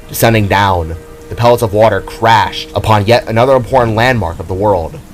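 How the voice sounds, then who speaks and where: A man's voice: lowish voice, slight background noise. A man reading a scripted fantasy story as narrator, in a dramatic storytelling manner. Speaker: narrator of an amateur audio drama. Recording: music under the voice. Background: background music.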